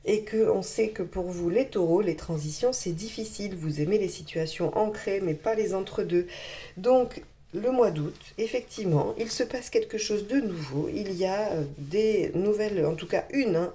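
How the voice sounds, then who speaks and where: Hoarse woman giving a tarot reading. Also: mid-range voice. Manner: gentle, reassuring, many filler pauses. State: hoarse from a cold. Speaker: tarot reader. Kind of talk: tarot card reading for viewers.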